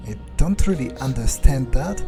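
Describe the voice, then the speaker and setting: A man playing a video game in character, musing aloud in an understated, deadpan and slightly hesitant way. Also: mid-range voice, moderate pace, creaky, over music. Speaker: gamer playing a vampire in character.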